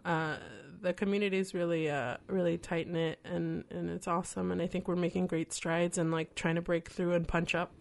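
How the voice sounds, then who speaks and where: A woman comedian guesting on a casual interview, hesitant with many fillers and frequent laughter. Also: fairly low pitch.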